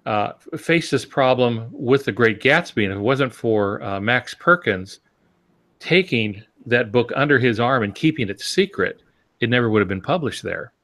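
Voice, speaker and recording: A man's voice: medium pitch, animated, moderate pace, fairly bright tone. A man in a casual discussion, explaining step by step and posing rhetorical questions as he goes. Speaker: podcast panelist.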